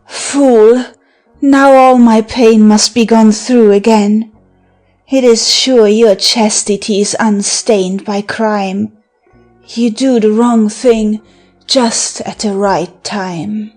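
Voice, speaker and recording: A woman's voice: mid-range voice, fairly even intonation, slow, a little mellow, soft background music, close to an everyday voice. A woman playing a teasing, mocking seductress with magical wiles in a theatrical audiobook verse drama. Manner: theatrical, taunting, breaking into laughter and sighs.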